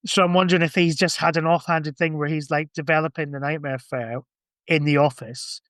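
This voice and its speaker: A man's voice: on the high side, steady tone, a little fast, a bit bright, no echo, clean studio sound. A man in casual podcast conversation, thinking aloud in a rambling way. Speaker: podcast guest or co-host. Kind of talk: casual chat.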